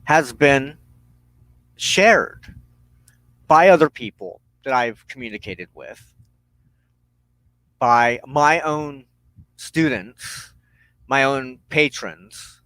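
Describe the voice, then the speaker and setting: A man hosting a spiritual broadcast, giving a reflective, unscripted monologue in an earnest, halting way with emphatic phrasing and pauses. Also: medium pitch, lively intonation, a bit bright, no echo, poor audio. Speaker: host of a weekly spiritual broadcast.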